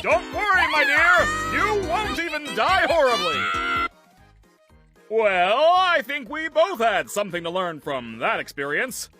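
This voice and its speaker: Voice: high voice, sing-song, unhurried. An actor voicing a manic, theatrical cartoon circus ringmaster who is bombastic, showy and gleefully menacing. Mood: showy glee. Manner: bombastic, showy, mock-reassuring. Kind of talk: cartoon showman announcing. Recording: loud music behind voice.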